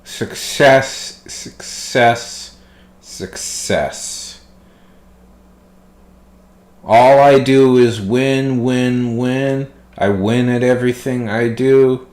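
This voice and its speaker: A man chatting casually on a writing livestream, his voice a little tired, rambling and playful, trailing off quietly. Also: medium pitch, steady tone, extremely slow, echoey room. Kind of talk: casual stream chat.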